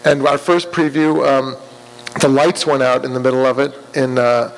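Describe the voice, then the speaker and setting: A man with a mid-range voice speaks earnestly about his filmmaking and drops in deadpan jokes. He is on a panel stage, and a long hall reverb trails his voice. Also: mid-range voice, normal speed, gravelly. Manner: earnest, self-deprecating, dry jokes. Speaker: film director, panelist. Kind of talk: reflective panel talk.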